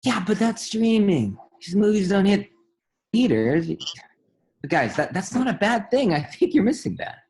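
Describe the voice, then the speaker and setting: A man's voice: high-pitched, animated, normal speed, a little mellow, slight background noise. A man playing a friendly, cocksure young roommate who earnestly spouts conspiracy theories in a comedy table read, slangy and boastful. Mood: insistent. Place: at home, remote table read.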